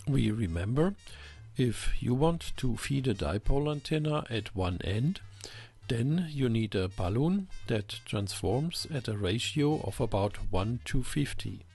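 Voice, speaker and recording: A man presenting a technical explainer in a measured, instructional way, reading scripted narration. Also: mid-range voice, vocal fry, a little mellow, slightly rough. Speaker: presenter of an electronics channel.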